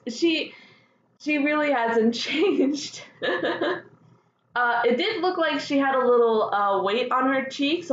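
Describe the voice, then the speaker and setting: A woman hosting a fan news show solo, chatting casually with gushing, excitable enthusiasm. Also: a little high. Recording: dull lo-fi sound, roomy echo, faint noise.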